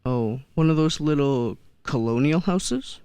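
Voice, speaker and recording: An actor playing a kindly old Santa figure in a radio play, gentle and hesitant, often repeating words. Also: mid-range voice, lively intonation, measured pace, clear, resonant tone, no room sound. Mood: genial. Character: kindly old man who believes he is Santa. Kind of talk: stage-style radio play acting.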